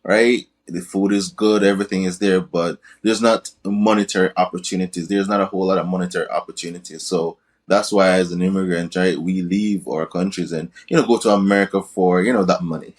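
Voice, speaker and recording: Low-voiced man, chatty. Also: fairly even intonation, brisk. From Jamaica. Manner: tags many sentences with "right?". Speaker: guest, crypto and business coach. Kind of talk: casual interview talk.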